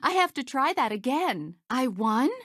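A young woman voicing a brave, earnest, good-hearted young woman warrior for a video game, in expressive short lines. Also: high-pitched, unhurried, dry recording, studio quality, full character voice. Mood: triumphant. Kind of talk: video game character voice clips.

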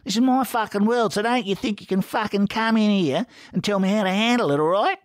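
Audiobook part male reader: loutish Australian brawler, coarse and cocky, pitched up with a very animated swing. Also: normal speed, no echo, studio quality, full character voice. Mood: aggressive. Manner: crude, loud, combative. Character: foul-mouthed, aggressive, sneering Australian pub lout.

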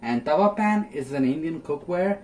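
A man's voice: fairly high pitch, lively intonation, normal speed, darkish tone, a little hiss or hum. A young man giving a casual, step-by-step cooking demonstration as host in a small home kitchen. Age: young adult. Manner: explains step by step.

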